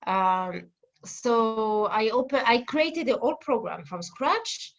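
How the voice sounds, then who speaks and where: A woman's voice: fairly high pitch, animated, takes it slowly, lo-fi sound. A woman in her forties from France with a French accent, a guest therapist answering interview questions with many fillers and restarts over a video call.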